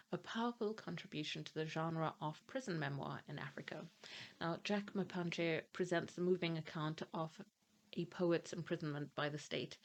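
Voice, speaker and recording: Low-voiced woman presenting her reading plans. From grew up in Malawi, Nigeria and Germany. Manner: reads blurbs steadily, asides chatty with fillers. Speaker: book channel host. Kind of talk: reading book blurbs aloud, casual asides.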